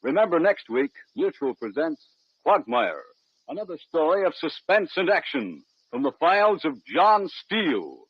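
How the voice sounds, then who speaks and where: A man's voice: a little high, very animated, moderate pace, breathy edge, no echo. A man working as a scripted announcer for a vintage radio drama. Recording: dull old radio recording, music behind.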